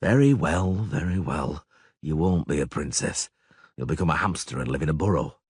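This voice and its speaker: A weary monster hunter, read by a man in an audiobook, speaks in a deep, grainy voice with curt, dry sarcasm. His lines are short and stern, but the pitch still moves a fair amount. The recording is close and dry. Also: expressive, normal speed, darkish tone, slightly rough, no room sound, exaggerated voice. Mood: sarcastic. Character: gruff, weary grown male monster hunter. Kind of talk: audiobook character voice.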